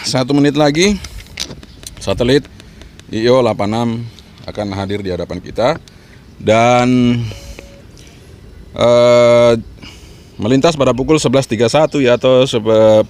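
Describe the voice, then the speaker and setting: A man's voice: medium pitch, measured pace, pauses often, darkish tone. A man giving an informal instructional vlog talk, encouraging and repeating his points as he explains. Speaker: amateur radio vlogger and trainer.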